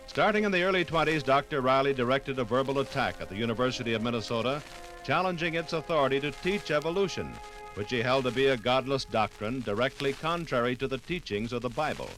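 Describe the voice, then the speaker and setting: Formal American male radio narrator, medium pitch. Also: a bit bright, low-quality recording. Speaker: documentary narrator. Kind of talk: scripted 1950s radio narration.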